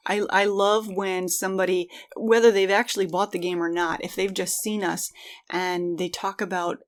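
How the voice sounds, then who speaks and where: A woman's voice: mid-range voice, flat delivery, normal speed. A woman co-hosting a podcast, talking casually and reflectively in an earnest, encouraging way. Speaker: podcast co-host.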